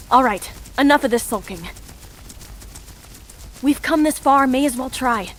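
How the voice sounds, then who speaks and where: High-voiced, plucky young heroine. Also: female voice, fairly bright tone. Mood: resolute. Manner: coaxing, upbeat, persuasive. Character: plucky, pushy young female wandering martial hero. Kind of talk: dramatic game cutscene acting. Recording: music behind.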